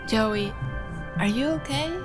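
An actress playing a gentle, concerned woman in an audio drama. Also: mid-range voice, full character voice. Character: concerned, gentle woman checking on her companion.

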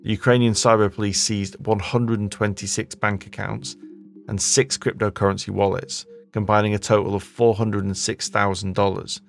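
Male documentary narrator, gravelly and lowish, measured delivery. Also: little pitch movement, faint music behind.

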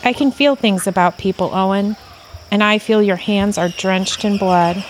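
A woman playing a composed, dryly superior female alien officer with a measured delivery in an audio play. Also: mid-range voice, a little hiss or hum, natural voice, poor audio. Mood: solemn.

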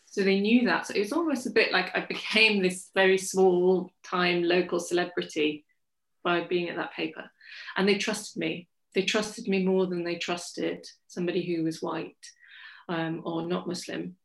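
A woman from England, a journalist telling her story in a forthright, lively interview. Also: lowish voice, moderate pace, vocal fry. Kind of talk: candid conversational storytelling. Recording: echoey room.

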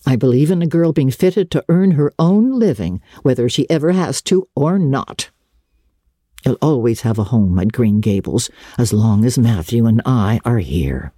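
A woman voicing a stern, practical older female guardian, brisk and no-nonsense, in a dramatic storybook reading. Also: extremely low pitch, expressive, warm tone, slightly rough, dry recording, clean studio sound, heavily acted. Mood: practical, reassuring. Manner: brisk, matter-of-fact, no-nonsense. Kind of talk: dramatic audiobook reading of a classic novel.

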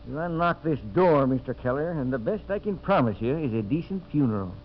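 An actor playing an honest, plainspoken gold prospector with a folksy manner, in 1950s radio western style. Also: male voice, a little high, animated, fairly quick, natural voice. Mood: defiant. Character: honest, plainspoken male gold prospector, loyal frontier sidekick. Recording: dull, hissy 1950s radio broadcast recording.

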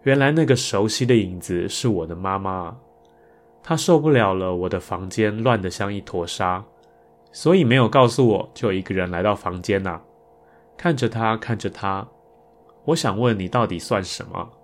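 A man's voice: on the low side, normal speed. A man with a Taiwanese Mandarin accent talking casually and reflectively as he hosts a podcast about his creative work. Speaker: podcast host. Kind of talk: casual podcast monologue about improvised songs.

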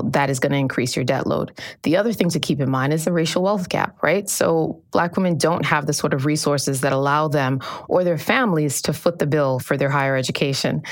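A woman reporter with a low voice explains her findings in a clear, measured way, the sound dry and close. Her delivery is steady and informative. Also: no echo. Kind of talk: explaining in a news podcast interview.

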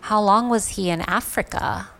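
A man's voice: high-pitched, moderate pace, vocal fry, a bit bright, pitched above the performer's own voice. A man voicing a young woman reacting to a story with shaky, earnest questions. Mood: shaken. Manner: tremulous, earnest. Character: young woman, shocked, sympathetic listener.

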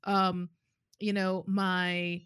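A woman in her forties, a clinician, chatting casually in an animated, easy way. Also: lowish voice, clear, resonant tone, no echo, clean studio sound. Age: 40s. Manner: chatty, quick to laugh, animated. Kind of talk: casual chat.

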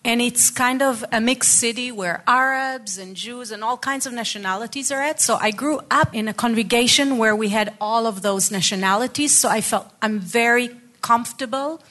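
Woman in her 50s from israel, slightly high voice, chatty reflective testimony as a panel guest. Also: fairly bright tone. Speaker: ministry program worker, panel guest. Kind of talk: conversational personal testimony.

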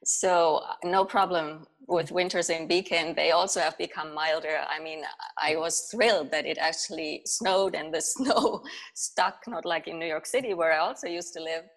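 A woman from Germany speaks as a guest on a podcast. Her voice is a little low for a woman, and she shares personal stories in a casual, conversational way. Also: moderate pace.

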